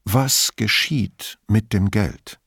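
A man playing a calm, patient male investigator in an audiobook, asking probing questions in a quiet, measured and reserved way. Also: lowish voice, gravelly, breathy edge, no room sound, studio quality, heavily acted. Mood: patient, insistent. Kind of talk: audiobook character dialogue.

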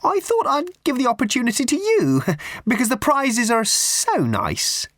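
A man voicing a fussy older woman selling raffle tickets, slow, prim and theatrical. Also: very high-pitched, wildly swooping pitch, fairly bright tone, no room sound, clean studio sound, pitched above the performer's own voice, full character voice. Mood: cloying.

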